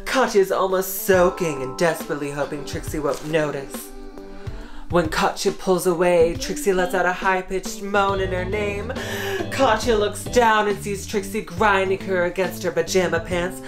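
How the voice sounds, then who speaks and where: Woman, story reader, low voice, slow deliberate narration, guitar music. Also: steady tone. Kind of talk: dramatic reading of fan fiction.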